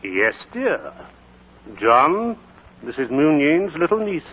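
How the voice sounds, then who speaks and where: An actor playing a kindly middle-aged vicar who speaks gently and earnestly, in 1940s radio acting style. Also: male voice, fairly high pitch, very animated, takes it slowly, full character voice. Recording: tinny old radio broadcast with faint hiss.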